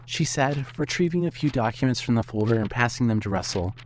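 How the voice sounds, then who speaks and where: A man's voice: mid-range voice, lively intonation, fairly quick. A man reading scripted story narration as the narrator of an audio fiction piece. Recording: faint noise and hum.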